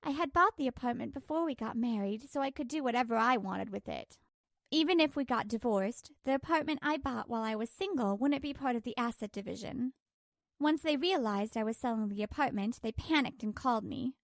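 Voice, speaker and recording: A woman's voice: fairly high pitch, fairly quick, vocal fry, no echo. A woman reading a scripted first-person drama story as its narrator.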